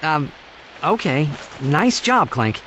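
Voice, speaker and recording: A youthful alien hero in a video game, high for a man and very animated. He sounds casual, impulsive and expressive, recorded with clear background noise. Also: slow pace, dull audio, exaggerated voice. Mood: concerned. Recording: noisy game cutscene capture.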